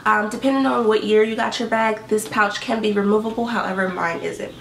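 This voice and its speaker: A young woman talks to her camera from her bedroom, upbeat and rambling with plenty of ums. Her voice has a gravelly fry and sounds stuffy from allergies. The small room echoes noticeably, and the mic sits a bit away from her. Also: medium pitch, steady tone, fairly quick, background noise. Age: young adult. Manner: chatty, upbeat, lots of likes and ums. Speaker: beauty and fashion vlogger. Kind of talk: casual what's-in-my-bag chat. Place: bedroom indoors. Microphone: camera in front at desk distance. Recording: roomy bedroom echo, mic a bit far.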